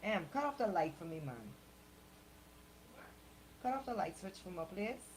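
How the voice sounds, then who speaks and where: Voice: mid-range voice, lively intonation, moderate pace, slight background noise, rough recording. A person chatting casually. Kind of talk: casual chat.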